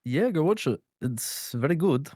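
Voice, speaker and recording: A man's voice: on the high side, big pitch swings, normal speed, dark tone, husky, no echo, studio quality. A man co-hosting a podcast, chatting casually and informally about films in tentative phrasing. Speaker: podcast co-host. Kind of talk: casual chat, film discussion.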